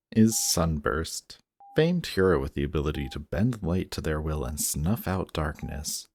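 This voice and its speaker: A man's voice: deep voice, darkish tone, exaggerated voice. A man acting a sarcastic, guarded villain in an audio roleplay, with a snarky, casual delivery that grudgingly shows care. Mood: curious, teasing. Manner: snarky, dry, casual teasing.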